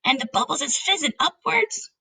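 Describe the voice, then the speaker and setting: Woman putting on an excitable giant with muddled grammar, gravelly. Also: high voice, moderate pace, pitched above the performer's own voice, exaggerated voice, rough recording. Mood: insistent questioning. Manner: emphatic, mangled grammar and nonsense words. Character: excitable, indignant male giant with muddled words.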